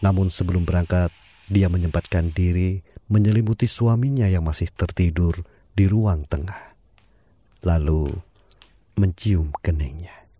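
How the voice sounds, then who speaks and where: A man narrating an audio drama in a calm, measured storytelling manner. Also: sits low, dry recording.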